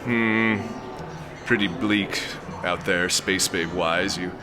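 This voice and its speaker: A man's voice: low voice, fairly even intonation, slow pace, over music. A man playing a hard-drinking, lecherous space lord in a comic sci-fi audio drama, pompous, florid and blustering. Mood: smug, bored.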